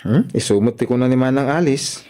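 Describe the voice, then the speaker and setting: Guy acting shy polite young filipino man, northern accent, soft mellow voice, radio drama. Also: medium pitch, brisk, lightly acted. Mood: easygoing. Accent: northern Philippine. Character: bashful, polite young man, dutiful son.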